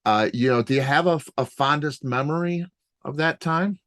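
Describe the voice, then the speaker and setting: A man's voice: fairly high pitch, normal speed, fairly bright tone, no room sound, clean studio sound. A man interviewing a musician, asking long questions padded with fillers. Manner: chatty, lots of fillers.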